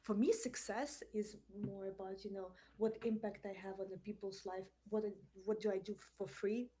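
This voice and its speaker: A woman's voice: mid-range voice, steady tone, normal speed, mellow, slightly rough. A woman from Ukraine, not a native English speaker, answering interview questions in a hesitant way with many fillers. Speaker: guest.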